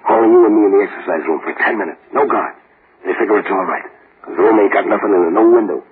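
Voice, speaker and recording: A man's voice: lowish voice, rapid-fire, breathy edge. A man playing a cocky, scheming condemned gangster with sardonic tough-guy slang and broken grammar, in old-time radio style. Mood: conspiratorial. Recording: muffled, hissy old radio recording.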